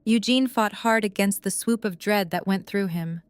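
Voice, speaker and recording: A woman's voice: medium pitch, moderate pace, no room sound. A woman narrator reading scripted dramatic prose for an audio drama.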